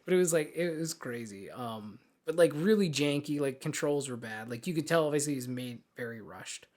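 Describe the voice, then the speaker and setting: A man's voice: mid-range voice, animated, fairly quick, darkish tone. A man co-hosting a podcast, chatting informally and peppering his talk with "like". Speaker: podcast co-host. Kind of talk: casual chat about games and films.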